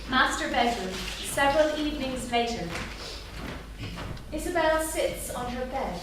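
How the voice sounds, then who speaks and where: A woman's voice: high-pitched, expressive, measured pace. A woman narrator reading scripted stage directions at a staged play reading. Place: small theatre, staged reading. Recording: echoey theatre, distant mic, clear noise.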